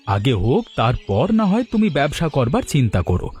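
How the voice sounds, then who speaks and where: A man's voice: mid-range voice, very animated, moderate pace, a little mellow, husky, soft background music. A man voicing a meek, hesitant village husband in a cartoon, sounding doubtful and mildly chiding. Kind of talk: Bengali village cartoon dubbing.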